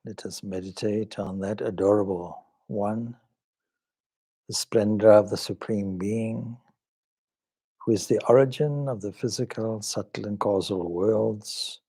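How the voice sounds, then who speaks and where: A man leading a guided meditation as a swami, speaking calmly and reverently in measured short phrases with pauses. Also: on the low side, slightly rough. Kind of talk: guided meditation with some Sanskrit chanting.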